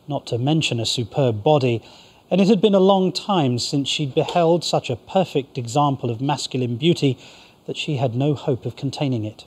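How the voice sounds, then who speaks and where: A man's voice: mid-range voice, a little mellow, husky. A man reading a passage from a romance novel aloud.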